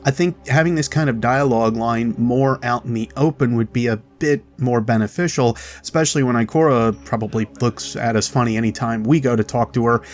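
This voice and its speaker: A man's voice: medium pitch, a little fast, few pauses. A man hosting a video, giving chatty, explanatory commentary as a voice-over about game lore. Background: music.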